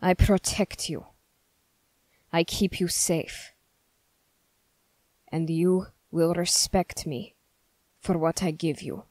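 A woman playing a stern, suspicious young male soldier in an ASMR roleplay, questioning the listener in a measured, formal way. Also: fairly low pitch, steady tone, darkish tone, no room sound. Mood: stern, commanding. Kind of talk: ASMR character roleplay, slow scripted monologue.

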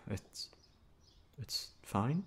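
A man playing a shy, flustered, gentle young classmate in an ASMR roleplay, hesitant and stammering. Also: very slow. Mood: shy relief. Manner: hesitant, stammering, soft-spoken.

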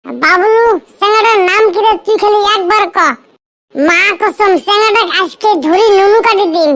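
A man voicing a lovesick, crude young village man in a comedy cartoon, with a northern Bengali accent and a pitch-raised effect. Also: normal speed, a bit bright, slight background noise. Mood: boastful, jealous.

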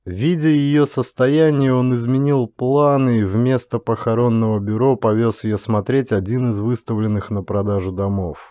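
Male narrator for dramatic fiction audiobook, warm dark tone, mid pitch, dry studio-close sound. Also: steady tone, normal speed, few pauses, no room sound.

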